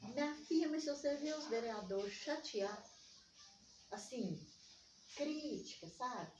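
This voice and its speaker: An older woman with a Minas Gerais accent recounts her life in conversation, slipping into quoted dialogue with animation. Her voice sits a little high. The sound is dull and roomy, picked up at some distance from the microphone. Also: unhurried. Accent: Brazilian Portuguese from Minas Gerais. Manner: animated, re-enacts remembered dialogue. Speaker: interviewee, community organizer. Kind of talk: personal storytelling in conversation. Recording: echoey room, distant mic, dull, faint noise.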